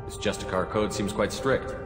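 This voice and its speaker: A man's voice: on the low side, moderate pace, natural voice. A man playing a tough, no-nonsense military commander in a video game, blunt and businesslike. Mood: skeptical. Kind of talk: video game character acting. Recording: echoing hall, loud music behind.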